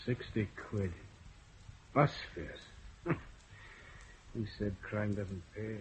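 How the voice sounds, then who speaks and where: A curt, dry police inspector. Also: male voice, lowish voice, extremely slow. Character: dry, sardonic male police chief inspector. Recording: dull old radio broadcast with faint noise.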